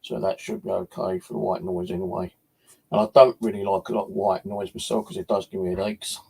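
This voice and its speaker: A British man with a fairly low voice talks casually to a livestream audience. His tone is husky and full of creak. He rambles informally, hesitating as he goes. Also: steady tone, a little fast, dark tone. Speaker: paranormal livestream host.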